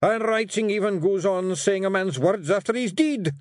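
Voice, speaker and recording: A man's voice: high-pitched, lively intonation, moderate pace, no room sound, studio quality, voice pushed up. Man doing a blustering Scottish pixie warrior. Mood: growling. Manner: growling, blustering. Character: gruff small male warrior pixie chief. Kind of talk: audiobook character voice.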